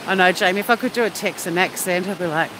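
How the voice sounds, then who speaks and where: A woman's voice: on the low side, fast. A woman with an Australian accent chatting casually and cheekily as a live stream host, replying to viewers outdoors at the beach. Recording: loud steady wind or surf noise.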